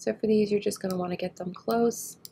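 A woman getting over a head cold, slightly nasal, talking through a photo editing tutorial as she works and often trailing off quietly. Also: medium pitch, steady tone, fairly quick, creaky, slight background noise. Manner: thinks aloud while working, often trailing off quietly. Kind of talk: screen-recorded editing tutorial.

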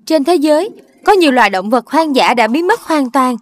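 A woman's voice: sits high, animated, normal speed, over music. A woman playing a serious narrator, reading a scripted informational report in a cartoon. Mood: serious, informative.

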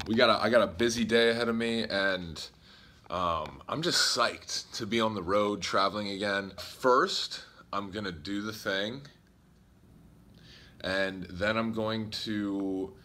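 A young man talking fast and upbeat to a phone camera held at arm's length, vlogging about fitness from a hotel room and city streets. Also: fairly low pitch, sharp tone. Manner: upbeat, hyped, chatty. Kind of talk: casual vlog talk to camera.

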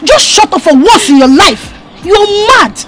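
A woman with a Nigerian accent playing a brash, mocking town woman in a film drama, confrontational and defiant, repeating shrill taunts. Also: big pitch swings, a little fast. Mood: scornful. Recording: clearly noisy background.

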